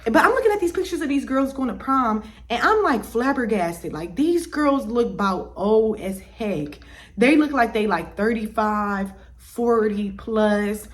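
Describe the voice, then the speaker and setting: Chatty young woman vlogging her opinions. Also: mid-range voice. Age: 20s. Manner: chatty, lots of fillers and restarts. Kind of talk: casual opinion vlog.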